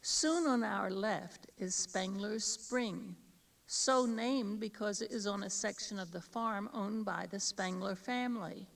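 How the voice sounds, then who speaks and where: Slow, creaky-voiced American woman guide. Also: mid-range voice. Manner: measured, formal, instructional. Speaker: audio tour guide giving directions. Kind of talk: scripted instructional narration. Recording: distant-sounding 1983 cassette tape.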